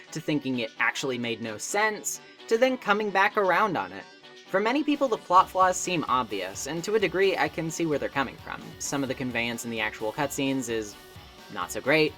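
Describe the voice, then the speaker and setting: A woman with a low voice narrates a scripted video essay. Her intonation is lively and moves around a lot. She keeps it conversational, slipping in wry asides as she explains.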